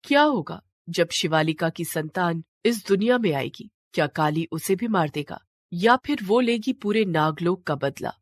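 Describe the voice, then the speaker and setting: A woman's voice: lowish voice, animated, normal speed, no echo, clean studio sound. A woman narrating a scripted mythological tale in a dramatic storytelling style.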